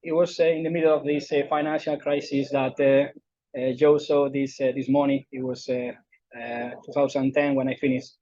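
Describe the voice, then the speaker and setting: A man's voice: medium pitch, level tone, moderate pace, mellow, no echo, highs cut off. A middle-aged man from southern Spain with a Spanish accent, giving a conference talk with many fillers and restarts. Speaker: invited speaker. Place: lecture room.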